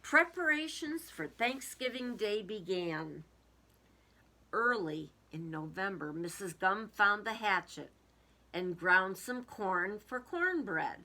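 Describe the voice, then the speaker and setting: A woman's voice: medium pitch, lively intonation, slow pace, bright, forceful tone. A woman reading a storybook aloud to young children, expressively, with casual musing commentary.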